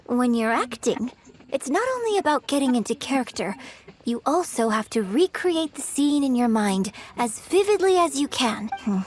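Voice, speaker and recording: A woman's voice: high voice, big pitch swings, moderate pace. A woman playing a poised young opera performer who coaches acting in a video game, articulate, theatrical, exacting but encouraging. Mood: thoughtful, gentle.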